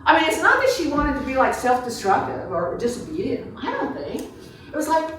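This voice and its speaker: Heard from a distance in a very echoey room, a woman speaks in a highish voice that swings widely. She is an author taking questions, informal and digressive, thinking aloud as she goes. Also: moderate pace. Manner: animated, informal thinking aloud in broken phrases. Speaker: author answering audience questions. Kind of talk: casual, digressive question-and-answer talk at a book reception. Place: reception room before a small audience. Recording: echoey room, picked up from far off.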